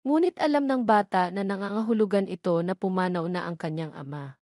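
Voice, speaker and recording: A woman's voice: mid-range voice, brisk, a little mellow, dry recording, studio quality. A woman reading a scripted story as its narrator.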